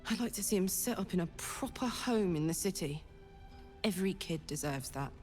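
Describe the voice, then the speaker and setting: A woman's voice: on the low side, expressive, normal speed, darkish tone, close to an everyday voice. A woman playing a tough, big-hearted female fighter in a video game, casual and encouraging, with long reverb and music behind. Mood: fond, encouraging. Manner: casual, plain-spoken, encouraging.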